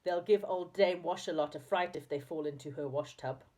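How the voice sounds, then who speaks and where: A woman's voice: sits low, normal speed, warm tone, recorded from a distance, lightly acted. A woman voicing a gleeful, teasing little fantasy fellow from a storybook, chatty and amused. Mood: delighted.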